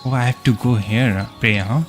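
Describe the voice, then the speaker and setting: A man's voice: medium pitch, fairly even intonation, brisk, soft background music. Chatty man streaming a game. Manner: chatty, answers chat, thinks aloud with fillers. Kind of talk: casual live-stream commentary.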